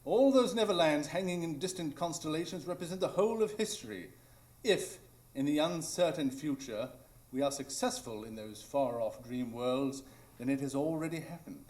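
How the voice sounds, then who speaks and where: Male actor as confident radio-play detective: eloquent, defiant, highish voice, very animated delivery. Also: normal speed, close to an everyday voice. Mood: coolly triumphant. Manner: theatrical, articulate, declamatory. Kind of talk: live radio drama acting.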